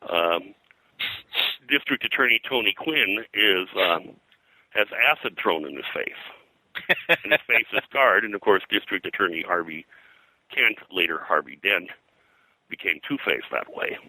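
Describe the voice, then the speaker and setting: Older male historian as interview guest: very low pitch, hard-edged bright tone, halting, detail-heavy recollection. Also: no room sound, low-quality recording. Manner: halting, discursive, scholarly detail. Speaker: guest, comics and pulp fiction historian. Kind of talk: interview conversation, recounting history.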